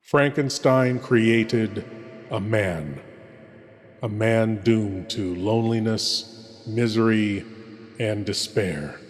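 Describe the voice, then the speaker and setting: A man hosting a horror show with ominous, theatrical delivery and dramatic pauses. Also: fairly low pitch, soft background music. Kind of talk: scripted gothic horror hosting. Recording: long hall reverb.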